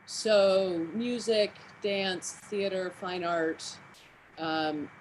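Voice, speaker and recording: Canadian-raised woman, measured and articulate, faint background hiss. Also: medium pitch, low-quality recording. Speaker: theater artistic director. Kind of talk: video-call interview.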